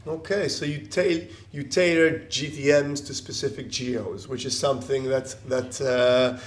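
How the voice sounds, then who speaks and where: A man's voice: mid-range voice, fairly even intonation, unhurried. A man reporting for a conference, interviewing a guest in a friendly way with frequent restarts.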